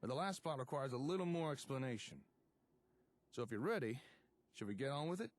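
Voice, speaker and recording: A man's voice: mid-range voice, expressive, fairly quick, lightly acted. An actor playing a folksy, easygoing man who organises a settlement and explains things in video game dialogue. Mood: genial, explaining. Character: folksy, genial male settlement organiser explaining building plots. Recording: clear background ambient noise.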